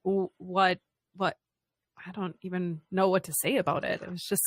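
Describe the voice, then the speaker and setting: A woman's voice: fairly low pitch, flat delivery, normal speed, dry recording. A woman co-hosting a studio podcast in casual chat, laughing often and restarting her sentences. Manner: giggly, chatty, trails off and restarts often. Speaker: podcast co-host.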